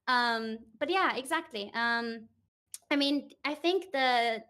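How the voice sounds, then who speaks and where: Woman from Austria, higher voice, animated and informal company livestream talk. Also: slow. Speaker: company communication manager, livestream participant. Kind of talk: casual chat.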